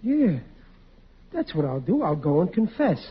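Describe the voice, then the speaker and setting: A luckless working-class father in a 1940s radio comedy. His voice is high for a man, folksy and full of woe. The old recording is muffled, with clear noise. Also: big pitch swings, measured pace. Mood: rueful. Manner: plain-spoken, folksy, ungrammatical, sighing. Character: hapless, dejected working-class husband and father.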